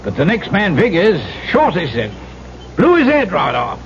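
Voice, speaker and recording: A superstitious old sea captain for a radio play. He talks on in a folksy, emphatic way, his voice high and full of gravel. The old broadcast recording is very noisy. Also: wildly swooping pitch, normal speed, lo-fi sound, heavily acted. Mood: grim, emphatic. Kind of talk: 1970s radio drama acting.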